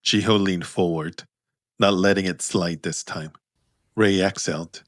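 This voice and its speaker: A man narrating scripted fiction in measured, deliberate phrases. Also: sits low, steady tone, no room sound, studio quality. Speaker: narrator, author reading own story.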